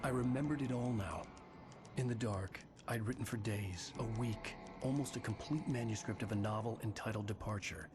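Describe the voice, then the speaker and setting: A male actor playing a haunted, weary novelist, narrating a video game in a brooding, measured way. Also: fairly low pitch, close to an everyday voice. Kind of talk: scripted first-person game narration. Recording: loud music under the voice.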